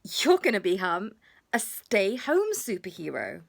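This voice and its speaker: A woman voicing a gentle, reassuring mother in a children's storybook, soothing and encouraging as she explains simply. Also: medium pitch, wildly swooping pitch, a little slow, vocal fry, exaggerated voice. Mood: explaining.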